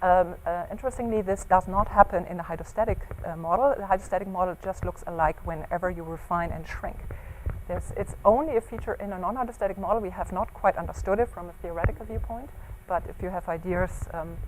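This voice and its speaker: A woman researcher presenting a scientific talk with slides in a seminar room, often pausing on ums and breaking up her phrases. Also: fairly low pitch, darkish tone, low-quality recording. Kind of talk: semi-scripted scientific conference talk with slides. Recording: clear background noise in seminar room.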